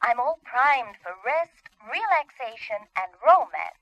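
High, bright, pushy flirt. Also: female voice, big pitch swings, measured pace, dry recording, heavily acted, poor audio. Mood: insistent, flirtatious. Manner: insistent, flirtatious. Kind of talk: 1950s radio drama acting.

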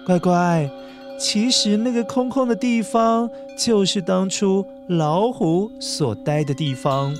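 A woman tells a children's story. Her delivery is expressive and aimed straight at young listeners, with faint music underneath. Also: mid-range voice, slow pace. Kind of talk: scripted children's story narration.

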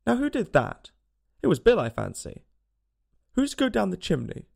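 A man's voice: high voice, huge pitch swings, moderate pace, creaky, slightly rough, pitched above the performer's own voice, heavily acted. A man voicing a crew of brusque, folksy workers squabbling and calling out orders in a storybook reading. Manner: brusque, shouting instructions.

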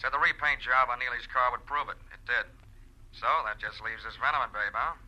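A very bright, hard-edged man's voice as a homicide sergeant in an old radio drama. He rattles along briskly and gruffly, all business, with cop slang. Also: mid-range voice, fairly even intonation, poor audio. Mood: businesslike. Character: brisk, gruff, matter-of-fact male police homicide sergeant. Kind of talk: 1940s radio crime drama acting.

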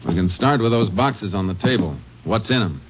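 An actor playing a calm, sharp Texas Ranger investigator in a radio drama, terse, matter-of-fact and authoritative. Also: male voice, on the low side, normal speed, slight background noise, natural voice. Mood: firm, pressing. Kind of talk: old-time radio detective acting and narration. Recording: muffled old radio recording.

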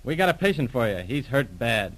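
A man's voice: medium pitch, very animated, fairly quick, fairly bright tone. A man playing a tough gangster henchman, slangy and bossy, in 1940s radio drama style. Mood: coaxing, menacing. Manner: slangy, barking orders. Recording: old radio serial recording.

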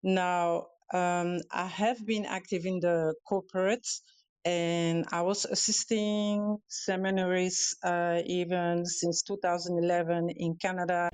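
A woman with a Dutch accent, Dutch her first language, calling in as a guest and speaking haltingly with many fillers and restarts. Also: on the low side, flat delivery, darkish tone, clean tone, dry recording. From Europe. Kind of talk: casual talk on a live audio show. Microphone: calling in remotely.

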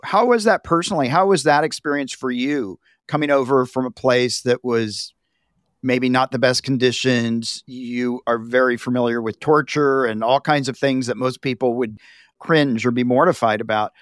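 A man's voice: medium pitch, normal speed, dry recording. Dry, close-miked male podcast host. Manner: conversational, laughs easily, acts out quoted voices. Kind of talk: podcast interview.

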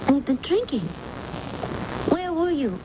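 A wounded, clinging girlfriend in an old radio melodrama. She speaks slowly in a high, plaintive voice, heard through a muffled and noisy broadcast recording. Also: very animated. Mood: hurt, reproachful. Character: needy, wounded girlfriend, reproachful and pleading. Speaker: radio drama actor. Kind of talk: 1970s radio drama acting.

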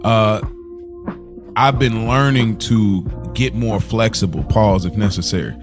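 A man's voice: fairly low pitch, unhurried, over music. A man co-hosting a podcast in casual chat, pressing with follow-up questions. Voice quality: probing, asks follow-up questions. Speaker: podcast co-host.

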